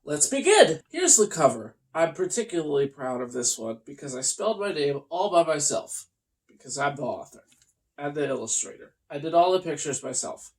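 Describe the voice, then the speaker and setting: A host reading a comic children's storybook aloud in a theatrical, mock-earnest way with deliberate pauses. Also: medium pitch, sing-song, low-quality recording.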